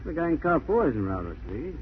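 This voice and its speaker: An actor playing an affable, excitable train passenger in a 1940s radio drama. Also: male voice, on the high side, fast. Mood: helpful, excitable. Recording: scratchy, narrow-band 1940s radio recording.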